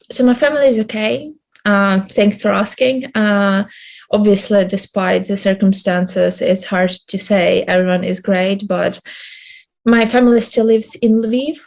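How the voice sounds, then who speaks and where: A young woman from Ukraine, answering interview questions in a halting way with many hesitations. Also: mid-range voice, darkish tone. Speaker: guest.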